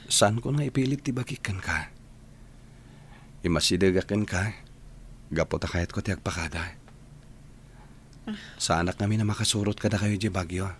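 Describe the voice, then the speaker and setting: A man's voice: deep voice, normal speed, pauses often, slight background noise, lightly acted. A man acting in a radio drama as an earnest, heartbroken young graduate, with a Filipino Ilocano accent. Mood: hurt, pleading.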